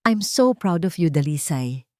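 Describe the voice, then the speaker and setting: Woman voicing a gentle, devoted male doctor. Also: sits low, big pitch swings, measured pace, no echo, clean studio sound. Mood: admiring. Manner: gentle, sincere.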